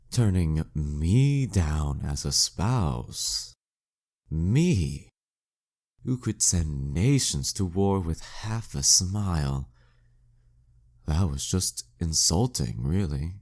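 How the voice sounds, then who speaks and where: Man acting a very deep, slow, teasing demon king. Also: expressive, a little mellow, heavily acted. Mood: possessive, seductive. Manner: teasing, smug, slow deliberate delivery. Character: flirty, dominant, taunting demon king villain. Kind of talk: intimate scripted audio roleplay monologue.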